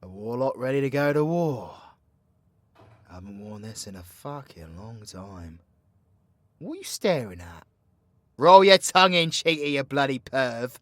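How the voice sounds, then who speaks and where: A British man playing a cocky, foul-mouthed dark-magic warlock in an audio roleplay, with slangy, teasing, proud delivery. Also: mid-range voice, very animated, measured pace, long pauses, dry recording. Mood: mocking, teasing. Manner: cheeky slangy banter, swinging between hushed menace and loud scolding. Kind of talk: roleplay ASMR audio drama.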